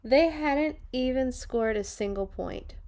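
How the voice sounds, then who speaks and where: Unhurried woman reading a picture book aloud. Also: high-pitched, darkish tone. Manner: dramatic, deliberate phrasing with playful asides. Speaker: read-along storyteller for children. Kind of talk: expressive picture-book read-aloud with chatty asides.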